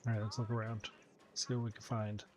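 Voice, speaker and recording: A man's voice: on the low side, flat delivery, brisk, a little mellow. A man hosting a gaming channel, giving casual commentary as he plays. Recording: faint game music behind the voice.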